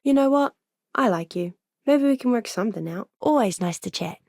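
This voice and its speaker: A woman playing a polite, earnest, friendly young spaceship medic in a video game, expressive in her delivery. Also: fairly high pitch, a little fast, creaky, no room sound, clean studio sound. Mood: firm, negotiating. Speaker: ship's doctor and botanist.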